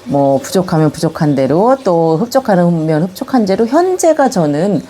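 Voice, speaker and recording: An older Korean woman with a touch of Chungcheong accent recalls her life in an interview. Her voice is mellow and soft at the edges, and her pitch rises and falls freely as she talks. She speaks in a calm, reminiscing way. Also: normal speed. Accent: Chungcheong-tinged Korean. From Korea, Yongin area of Gyeonggi. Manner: calm, reminiscing, gently animated when recalling childhood. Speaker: elderly interviewee recording a video autobiography. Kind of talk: reflective life-story interview.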